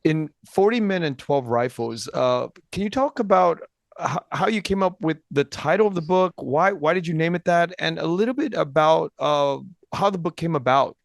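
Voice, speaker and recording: A man with a fairly high voice and a lot of creak asks casual interview questions as a podcast host. The sound is close and dry. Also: animated, moderate pace, dry recording.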